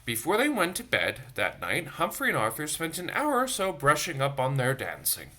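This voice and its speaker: A man's voice: a little high, huge pitch swings, normal speed, pushed and bright. A man reading a picture book aloud to children, expressively, with chatty asides to his young listeners. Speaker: storytime reader for a church. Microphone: phone.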